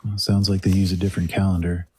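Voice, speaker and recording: A man's voice: low voice, flat delivery, quick pace, warm tone, husky. An actor playing a wry, easygoing young male adventurer in an audio drama, speaking casually.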